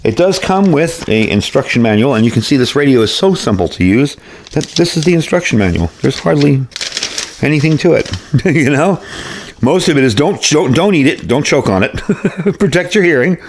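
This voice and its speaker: A man's voice: on the high side, lively intonation, moderate pace, slight background noise. A man giving a casual hands-on product demonstration in a folksy, conversational way, often repeating himself. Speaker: radio product reviewer.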